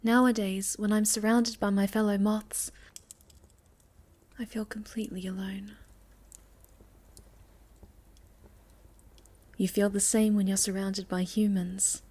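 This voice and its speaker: A woman playing a sweet, shy young moth girl in an ASMR roleplay, speaking gently and hesitantly, often dropping to a breathy near-whisper. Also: mid-range voice, flat delivery, normal speed, natural voice. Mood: lonely, gentle. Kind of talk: ASMR roleplay, soft intimate storytelling to the listener.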